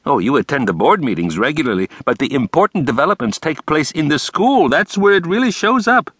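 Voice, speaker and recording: A man's voice: on the high side, very animated, brisk, a bit bright, slightly rough, no room sound, studio quality, pitched above the performer's own voice, full character voice. A man voicing a bouncy, theatrical male school principal of about forty who frets and insists with indignation. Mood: insistent.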